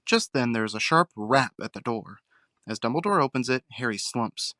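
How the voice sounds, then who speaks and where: A man's voice: mid-range voice, expressive, moderate pace, sharp tone, dry recording, studio quality. A man reading scripted narration as the narrator of an audio drama.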